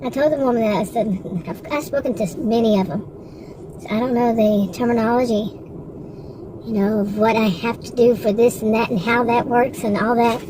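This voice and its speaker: A woman's voice: fairly high pitch, steady tone, moderate pace, noisy background. Frustrated woman in her sixties, rambling. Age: 60s. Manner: rambling, frustrated, with many restarts and asides. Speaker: vlogger. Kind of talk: casual monologue to camera.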